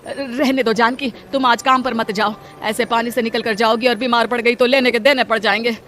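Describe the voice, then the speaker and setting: A woman's voice: a little high, fairly even intonation, fairly quick, fairly bright tone, lightly acted. A woman voicing a poor male laborer, an earnest, protective husband in a cartoon. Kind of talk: Hindi cartoon voice acting.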